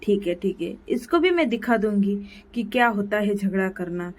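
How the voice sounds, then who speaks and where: A woman voicing a quarrelsome female crow wife who scolds her husband and complains loudly. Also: medium pitch, lively intonation, normal speed, natural voice. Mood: indignant.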